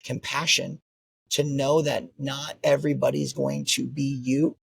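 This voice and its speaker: A man's voice: medium pitch, flat delivery, measured pace, creaky, slightly rough, dry recording. A man who runs a martial arts school, talking earnestly in an interview and often tagging his points with "right?". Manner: earnest, repeats "right?" as a tag. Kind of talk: interview conversation.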